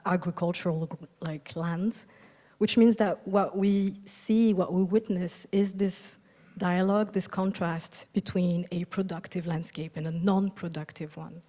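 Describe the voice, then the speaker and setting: A young woman from Algeria delivers a keynote in a soft, thoughtful way, filling her pauses with ums and uhs. Her voice sits a little low and sounds mellow and warm. A long reverb from a large hall hangs behind her words. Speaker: architect giving a keynote. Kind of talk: conference keynote talk.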